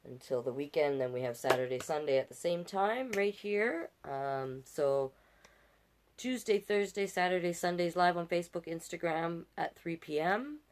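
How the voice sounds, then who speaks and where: A woman with a low voice reads picture books aloud as a storytime host. She is animated and folksy, slipping easily from the story into chatty, encouraging remarks to her viewers. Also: moderate pace, a little hiss or hum.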